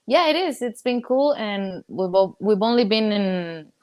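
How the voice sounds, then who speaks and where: A young woman from Mexico who speaks English with a Mexican Spanish accent, Spanish being her first language. She talks casually as a guest in a live coaching session, halting and searching for words with many fillers and restarts. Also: mid-range voice, lively intonation, darkish tone, no room sound, studio quality.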